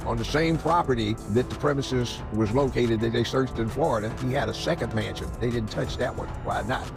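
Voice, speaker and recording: A man's voice: medium pitch, a little fast, gravelly, music in the background. A man giving opinionated conversational commentary as a legal expert, posing questions and answering them himself. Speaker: legal commentator with criminal defense background.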